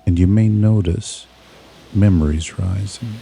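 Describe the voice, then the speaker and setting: A man narrating a scripted guided meditation in a soothing, measured manner, leaving pauses between short phrases. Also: low-pitched, creaky, warm tone, faint music behind.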